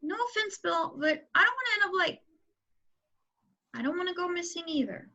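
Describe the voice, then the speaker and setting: Woman reads a whiny, fretful boy; high and bright, dull recording. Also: lively intonation, normal speed, full character voice. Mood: nervous. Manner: worried and whiny. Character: anxious germ-fearing boy, fussy and nervous. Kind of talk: table read over a call.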